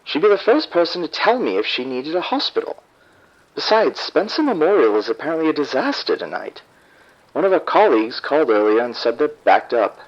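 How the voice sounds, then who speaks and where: A man's voice: on the high side, sing-song, a little fast. An actor playing an irritated, proud husband and father who speaks curtly and defensively to the police over the phone. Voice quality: curt, defensive. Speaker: caller. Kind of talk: audio drama acting. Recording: dull phone line.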